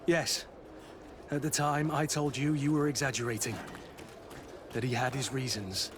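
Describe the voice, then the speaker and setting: An actor playing a regretful, earnest soldier in a video game. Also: male voice, mid-range voice, level tone, moderate pace, close to an everyday voice. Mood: bitter resolve. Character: betrayed, regretful soldier mentor of the Guard. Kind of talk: dramatic video game dialogue. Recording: clear outdoor ambient noise behind.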